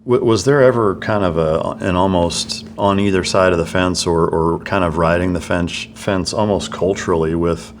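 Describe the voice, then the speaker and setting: A man's voice: low-pitched, fairly even intonation, moderate pace, few pauses, background noise. A man hosting a podcast interview, asking long, rambling questions full of fillers. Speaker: podcast host.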